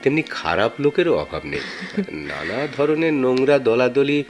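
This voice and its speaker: An actor playing a caring, cautious family friend in a Bengali radio drama, with a Bangladeshi accent and a measured, solicitous manner. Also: male voice, mid-range voice, sing-song, soft background music, natural voice. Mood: concerned, cautious.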